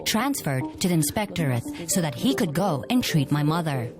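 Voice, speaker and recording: Low, lively woman reading voice-over. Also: moderate pace. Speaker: voice-over translator. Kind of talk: scripted documentary voice-over. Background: music.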